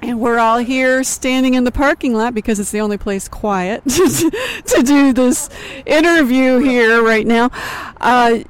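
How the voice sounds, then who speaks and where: A woman's voice: a little high, lively intonation, unhurried, clean tone, poor audio. A woman interviewing a band outdoors in a parking lot, friendly and laughing easily. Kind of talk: casual interview.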